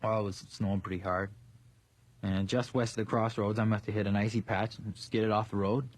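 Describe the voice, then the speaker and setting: Guy playing cocky young dude, rough husky voice, defensive n flippant, radio play. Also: lowish voice, little pitch movement, a little fast, no room sound, close to an everyday voice. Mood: evasive, flippant. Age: young adult. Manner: flippant, brash. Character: cocky, defensive young man dodging blame. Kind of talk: radio drama acting.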